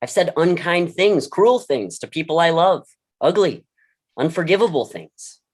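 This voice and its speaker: An actor plays a blunt, world-weary mentor in a staged drama. The voice sits at a middling pitch, and the delivery is deliberate and earnest, with a dry frankness. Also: expressive, a little mellow. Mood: stern, frank. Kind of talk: staged play reading, dialogue and monologue.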